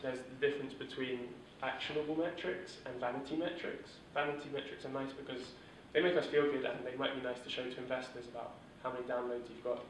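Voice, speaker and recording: A man leading a startup workshop, explaining principles in an informal, steady way with fillers. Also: mid-range voice, fast talker, hardly any pauses, slight background noise. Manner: steady, monotone delivery with filler words. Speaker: workshop instructor. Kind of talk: informal teaching talk. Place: large echoey room. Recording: echoey room from far off.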